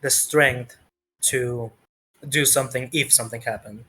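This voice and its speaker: A man's voice: mid-range voice, fairly even intonation, unhurried, sharp tone, husky. A man narrating a tabletop game as game master in a casual, improvised way, often searching for words.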